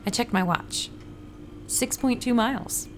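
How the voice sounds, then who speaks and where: A woman's voice: on the high side, a little slow, background noise, close to an everyday voice. A woman playing a friendly, ordinary runner and ad writer, narrating her story in a scripted fiction podcast. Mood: friendly, upbeat. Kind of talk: first-person scripted audio drama narration with dialogue.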